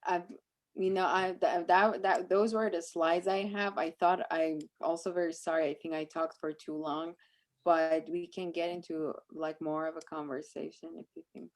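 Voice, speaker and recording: A woman's voice: lowish voice, steady tone, normal speed. A woman from Iran, a native Persian speaker, talking reflectively and conversationally about her work as a guest speaker, searching for her phrasing as she goes.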